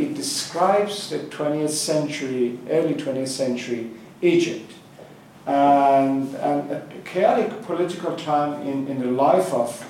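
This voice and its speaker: A man whose first language is Farsi, giving a public talk at a book gathering, with many pauses, fillers and restarts. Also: medium pitch, a little mellow, slight background noise. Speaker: guest speaker at a book club gathering. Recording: echoey hall, far from the mic.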